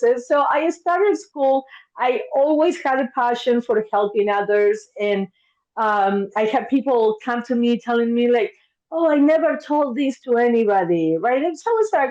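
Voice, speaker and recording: Lively Argentine-born woman on a dull line. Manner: warm, animated, acts out her past thoughts in a higher voice. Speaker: podcast guest, therapist. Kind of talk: casual interview storytelling.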